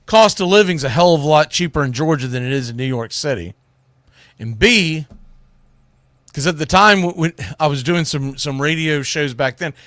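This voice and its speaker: A man with a high, very lively voice delivers sports commentary. His tone is bright and forceful, and he talks in a blunt, folksy, exasperated way, like a radio host on a rant. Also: no echo, clean studio sound. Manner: exasperated ranting, blunt, mildly salty, folksy.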